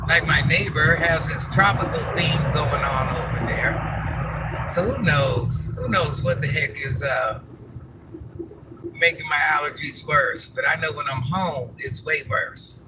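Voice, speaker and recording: A man's voice: a little high, a little slow, music in the background, low-quality recording. A man hosting a casual livestream from his car after work, chatting with viewers, greeting them by name and drifting off topic. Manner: calls himself granny. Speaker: livestream host. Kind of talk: casual live chat with viewers. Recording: steady car noise behind.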